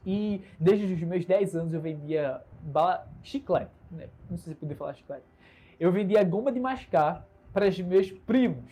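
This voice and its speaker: Young Recife entrepreneur hosting his own livestream: self-assured, earnest, mellow husky voice, recorded on a phone. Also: on the high side. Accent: Recife Brazilian Portuguese. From Recife, Brazil. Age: 20s. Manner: earnest, upbeat, self-assured, gestures a lot. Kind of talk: casual solo livestream talk. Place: bedroom. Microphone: smartphone camera.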